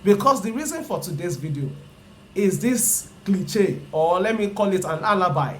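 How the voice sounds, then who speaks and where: A speaker with a Nigerian accent and a Yoruba mother tongue teaches personal finance straight to camera. The voice sits at a middle pitch and restates each idea several times for emphasis. The speaker checks in with rhetorical questions, and a faint room ambience runs underneath. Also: lively intonation, moderate pace, slight background noise. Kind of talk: explanatory lecture to camera.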